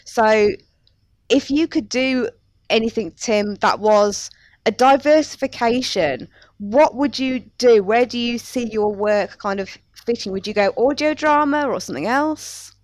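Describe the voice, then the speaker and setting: A woman's voice: fairly high pitch, unhurried, no echo. A woman hosting a podcast, talking casually in a chatty, self-deprecating way. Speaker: podcast host.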